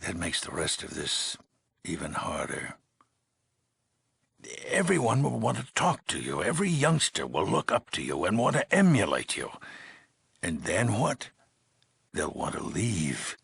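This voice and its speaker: An actor playing a weary, grave older leader of an underground vault in a video game, speaking in a measured, solemn way with heavy pauses. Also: male voice, rumbling low, lively intonation, a bit bright, dry recording, studio quality, full character voice. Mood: regretful, troubled. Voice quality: gravelly, husky. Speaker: overseer of a vault community. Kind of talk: dramatic video game dialogue.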